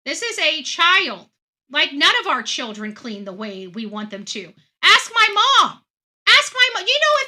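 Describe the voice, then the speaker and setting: A woman in her forties speaks in a high-pitched voice with a very sharp, forceful edge. She delivers impassioned commentary as an online host, unscripted and indignant. She leans hard on her points and repeats phrases for emphasis. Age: 40s. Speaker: online commentator hosting a true-crime show. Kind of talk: impassioned off-the-cuff commentary, reading viewer comments.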